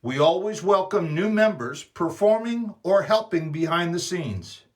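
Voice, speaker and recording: A male announcer reads scripted promotional copy. His voice is high for a man, and he goes slowly, with formal, deliberate delivery. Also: lively intonation.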